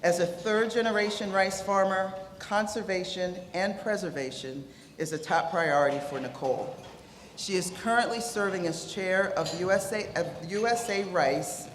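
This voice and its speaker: Woman introducing a panel in echoey hall. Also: lowish voice. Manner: warm, slightly fumbling introductions. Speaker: event introducer. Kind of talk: reading speaker introductions. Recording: echoey hall with background noise.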